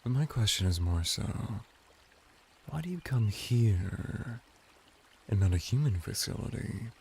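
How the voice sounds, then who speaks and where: A man plays a flirty merman attendant in a soothing ASMR bathhouse roleplay. His voice is dark and mellow with plenty of creaky fry. He teases gently and defers like a devoted servant, over faint watery background noise. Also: lowish voice, lively intonation. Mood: curious, teasing. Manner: unhurried, teasing, soothing, deferential.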